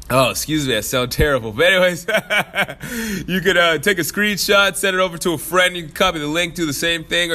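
A man's voice: a little high, pushed and bright. A man with a congested voice, hosting his podcast in a casual, playful way, chuckling between phrases, recorded in a fitness studio. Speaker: podcast host.

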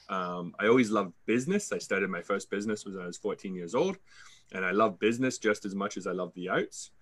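Confident Canadian man, lowish voice. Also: fairly bright tone. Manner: confident, fluent storytelling with occasional restarts. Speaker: sales coach guest. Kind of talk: interview answers.

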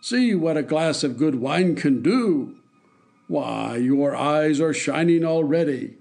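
A man reading aloud gives voice to a cruel, blustering king, loud and bullying. He lifts his pitch and booms his words with heavy scorn, unhurried and commanding. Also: animated, gravelly, full character voice. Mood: domineering.

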